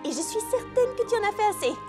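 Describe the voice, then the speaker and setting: Lively-pitched, sensible cartoon pony. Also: female voice, quick pace, music in the background. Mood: reassuring. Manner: earnest, reassuring. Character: sensible, reassuring young female cartoon pony. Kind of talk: animated children's cartoon dub.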